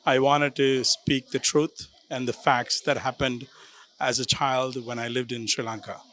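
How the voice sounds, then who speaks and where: A man's voice: medium pitch, level tone, unhurried, fairly bright tone. A man, a documentary cast member, giving brief, simple answers.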